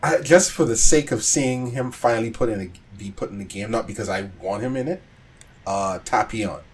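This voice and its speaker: A man's voice: fairly low pitch, normal speed. A man in a casual podcast chat about games, thinking aloud hesitantly as he talks. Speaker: podcast panelist.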